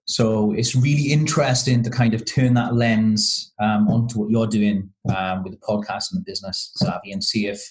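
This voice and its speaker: A man's voice: fairly low pitch, steady tone, normal speed, few pauses, rough recording. A man from Wales, a podcast guest talking casually and hesitantly with many ums and restarts. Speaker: podcast guest, marketing consultant.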